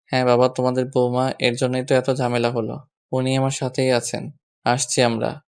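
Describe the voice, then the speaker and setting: A man's voice: medium pitch, monotone, normal speed, gravelly, darkish tone, clear, resonant tone, close to an everyday voice. A young man playing an exasperated, bossy yet fond husband in a romantic audio story, with a scolding, sarcastic manner. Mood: apologetic, reassuring.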